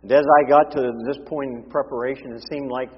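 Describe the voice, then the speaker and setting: A male pastor preaches a sermon with his voice going hoarse and husky. He shifts between forceful emphasis and hushed, quiet passages. The sound is dull and lo-fi, with a long reverb from the sanctuary around him. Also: medium pitch, fairly even intonation, a little fast.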